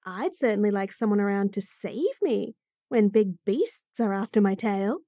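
A woman's voice: medium pitch, expressive, moderate pace, warm tone, dry recording, studio quality. A woman voicing a bratty, sassy bunny-girl chef in a fantasy roleplay audio, teasing and talking straight to the listener. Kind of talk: F4M roleplay audio, acted monologue.

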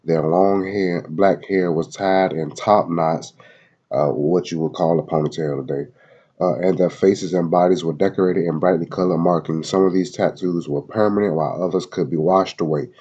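A man's voice: low-pitched, steady tone, moderate pace, warm tone, husky, lo-fi sound. A man with a head cold reading web pages aloud in a casual video, with slangy asides and many fillers. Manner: loose slangy asides between read passages, many fillers. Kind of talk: casual screen-recorded reading and commentary. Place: at home in Florida.

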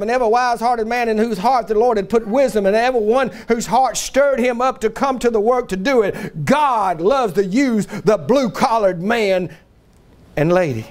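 A middle-aged man preaching a fervent, folksy church sermon, exhorting his listeners emphatically and breaking into shouts. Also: high-pitched.

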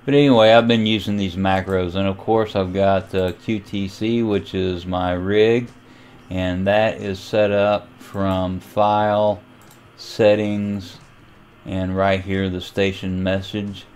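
A man giving an informal, step-by-step hobbyist walkthrough of software and equipment. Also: sits low, little pitch movement, slow, a little hiss or hum. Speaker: amateur radio hobbyist giving a tutorial. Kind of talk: casual software and radio walkthrough.

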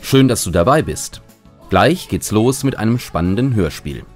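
A man's voice: fairly low pitch, expressive, normal speed, faint music behind. A man narrating a scripted children's radio play as its host.

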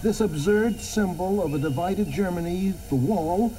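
High-voiced man narrating over noise. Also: unhurried, warm tone. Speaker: documentary narrator or reporter. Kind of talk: dramatic scripted narration. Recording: noisy archival documentary soundtrack.

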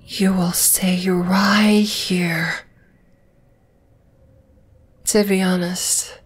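A woman playing a dominating, flesh-eating spider monster in an ASMR roleplay, whispering in a breathy, teasing, menacing way. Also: fairly low pitch, exaggerated voice. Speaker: voice actor.

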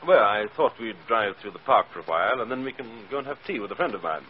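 A man playing a cultured, genial young man about town in an old radio drama. His low voice is very expressive, on a dull recording with faint noise. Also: fast talker, lightly acted. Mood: genial, admiring. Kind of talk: 1940s radio acting. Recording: muffled, noisy 1940s radio recording.

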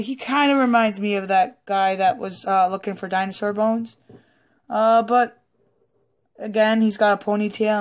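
Hoarse, slightly sick woman toy vlogger; rambling, hesitant, casual cursing; close phone recording at a home table. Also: medium pitch, a little mellow. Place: indoors at a wooden table in a home room.

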